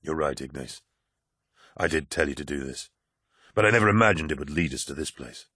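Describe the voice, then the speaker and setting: A man voicing a huge, grim male warrior captain, talking low and clipped with his anger held in check. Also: fairly quick, a bit bright, no echo, clean studio sound. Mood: weary.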